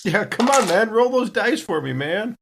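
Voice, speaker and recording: A man's voice: high-pitched, sing-song, moderate pace, gravelly, noisy background, heavily acted. A man playing a whiny, restless male patient in a tabletop game, complaining in repetitive slang. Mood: whiny, uneasy. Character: whiny, panicky male patient Wild Bill, restless slacker.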